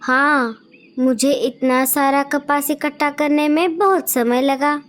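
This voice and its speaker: A sweet, helpful female bird from a children's cartoon, voiced by a woman in a very high voice. She speaks slowly and kindly, always offering to share. The voice is plainly put on for the cartoon. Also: fairly even intonation. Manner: sweet, slow and eager to help. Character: kind, helpful female birds. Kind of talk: cartoon story voice acting.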